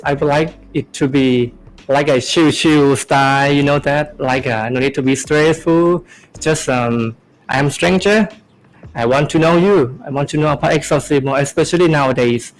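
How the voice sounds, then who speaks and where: A young man hosting a casual podcast, talking in halting phrases with many fillers. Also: a little high, poor audio. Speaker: podcast host.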